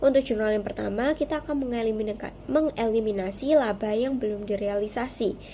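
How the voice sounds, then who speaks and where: A woman's voice: on the high side, fairly quick, mellow, a little hiss or hum. A young woman giving a prepared class presentation as a student, explaining step by step in a formal, methodical way. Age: young adult.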